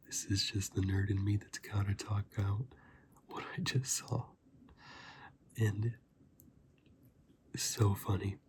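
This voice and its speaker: A man speaks softly in a low, breathy near-whisper, as a casual ASMR reviewer. His delivery is very slow and flat, circling back on phrases for emphasis. Noticeable noise sits behind the voice. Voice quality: soft, breathy, often whispered. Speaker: video host and reviewer.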